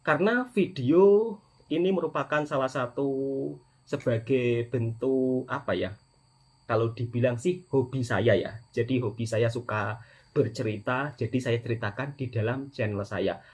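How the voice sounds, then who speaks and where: Husky middle-aged Indonesian man vlogging. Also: mid-range voice, unhurried, a little mellow. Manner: repetitive emphasis, often addressing his audience as friends. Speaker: vlogger, channel host, migrant worker. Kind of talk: casual personal vlog monologue addressed to viewers.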